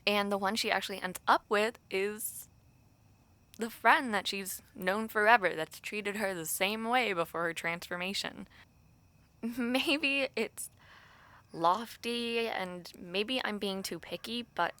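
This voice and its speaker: A woman's voice: medium pitch, slow pace, long pauses, sharp tone, no echo. A young woman playing a thoughtful, romantic university student who confides hesitantly in a friend, in an intimate, conversational audio roleplay. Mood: fond, earnest.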